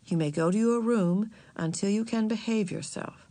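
Woman as a calm, firm storybook mother. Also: medium pitch, normal speed, no room sound, close to an everyday voice. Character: patient, firm mother, gently correcting her children.

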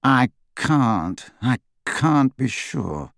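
A frightened teenage boy who answers slowly and reluctantly, as a male narrator voices him. His lines come quiet and halting. The storybook reading is dry and close. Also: medium pitch, sing-song, vocal fry, no room sound, heavily acted. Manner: hesitant, halting.

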